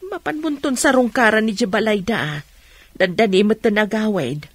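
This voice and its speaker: An actress playing a caring, practical middle-aged mother in an Ilocano radio drama, with a husky voice. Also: medium pitch, moderate pace, dry recording, natural voice.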